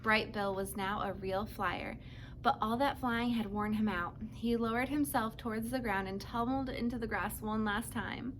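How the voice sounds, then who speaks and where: A woman's voice: a little high, fairly even intonation, normal speed, slight background noise. A woman narrating a children's book as a read-aloud, telling the story to young listeners. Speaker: storybook reader.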